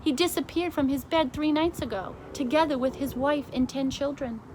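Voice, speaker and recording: A woman's voice: high-pitched, moderate pace, noisy background, voice pushed up, natural voice. A woman voicing a pompous male sultan speaking on the telephone in a storybook reading. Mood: boastful.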